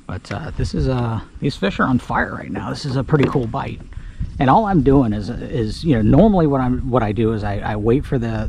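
A man casually talking through a fishing trip from his kayak on a creek, relaxed and full of filler words, recorded on an action camera outdoors. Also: on the low side, vocal fry. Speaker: fishing guide. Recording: outdoor camera mic, audible background noise.

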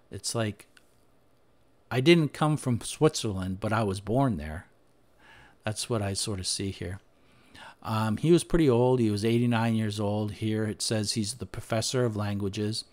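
A man with a fairly low voice walks through documents on his screen, talking them through in an unscripted way. He pauses often, says "uh", and restarts his sentences as he goes. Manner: unscripted, thinking aloud with frequent "uh" and restarts. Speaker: history podcaster. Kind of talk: screen-share presentation, talking over slides.

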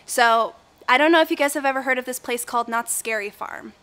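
A young woman, a kids' church teacher, telling a personal story to children in a chatty, animated way, filmed indoors on camera. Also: sits high, a little fast, clear, resonant tone. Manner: chatty and animated, talks with her hands. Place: indoor room with a window, filmed set.

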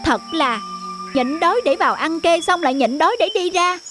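A timid cartoon rabbit voiced by an actor speaks in an extremely high voice. It frets and questions like a concerned friend, with music clearly behind. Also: expressive, normal speed. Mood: worried. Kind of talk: cartoon dubbing.

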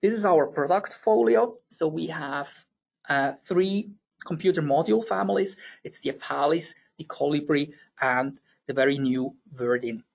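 A man's voice: on the high side, expressive, gravelly, husky, dull audio. A man with a Swiss German accent presenting a technical engineering webinar, explaining step by step with occasional fillers. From Switzerland. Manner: explanatory, slightly halting with fillers and restarts.

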